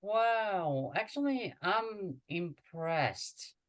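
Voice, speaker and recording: A woman's voice: medium pitch, expressive, extremely slow. A woman tutoring a student in English, encouraging and coaxing, often repeating herself. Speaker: Korean language tutor. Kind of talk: online tutoring lesson.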